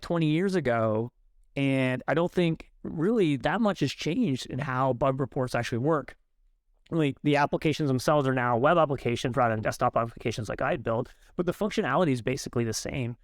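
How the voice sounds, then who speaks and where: A man's voice: mid-range voice, no echo, clean studio sound. A man hosting an interview podcast, asking questions conversationally with frequent fillers. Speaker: podcast host.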